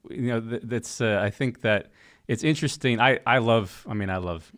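A man's voice: mid-range voice, moderate pace, a bit bright. A man from Massachusetts hosting a casual discussion, friendly and rambling with many restarts. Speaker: podcast host.

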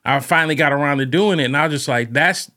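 Rambling podcast host from Ohio. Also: male voice, on the high side, fast talker, pushed and bright. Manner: loose and rambling, many fillers. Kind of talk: casual interview.

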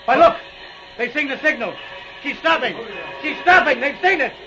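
A man playing an excitable, dutiful Mountie lieutenant with an urgent, deferential manner, in 1940s radio drama style. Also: extremely high pitch, normal speed. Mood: anxious, excited. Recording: muffled vintage radio recording, loud backing music.

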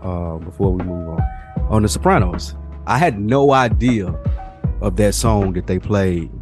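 A man's voice: sits low, animated, measured pace, over music. A man co-hosting a podcast, telling stories in a casual way and imitating other people's voices. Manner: storytelling, mimics other people. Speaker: podcast co-host. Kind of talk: casual chat.

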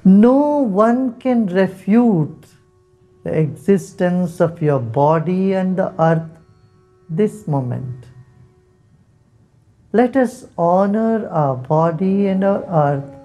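A man leading a guided meditation, giving soft, calm instructions with long pauses. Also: high-pitched. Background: soft instrumental music.

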